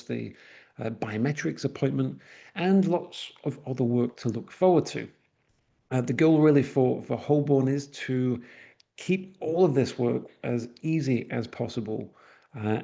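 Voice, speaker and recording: A man's voice: medium pitch, gravelly, warm tone, dull audio. A man from northwest England with a Manchester accent, giving a webinar presentation with frequent uhs and restarts. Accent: Mancunian.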